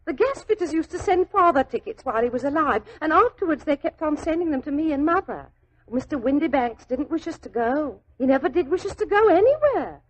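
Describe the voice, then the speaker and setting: An actress playing a naive, devoted young typist, anxious, chatty and deferential, in 1940s radio style. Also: female voice, high voice, animated, low-quality recording. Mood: wistful, chatty. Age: young adult. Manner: deferential, chatty, halting when flustered. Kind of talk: 1940s radio drama acting. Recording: old 1940s radio drama recording.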